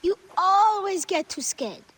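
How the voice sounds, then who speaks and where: A woman playing an excitable, teasing young boy in a video game cutscene. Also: very high voice, unhurried, heavily acted. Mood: excited, teasing.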